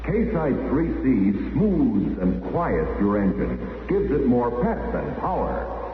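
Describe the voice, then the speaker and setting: An actor playing an emphatic pitch voice that intones slogans in a vintage radio commercial. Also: male voice, very animated, slow. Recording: cavernous echo, narrow noisy old radio.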